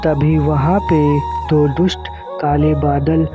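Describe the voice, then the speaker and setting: A man's voice: fairly high pitch, little pitch movement, takes it slowly, over music. A man narrating a scripted children's cartoon story as storyteller. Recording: dull sound with faint noise.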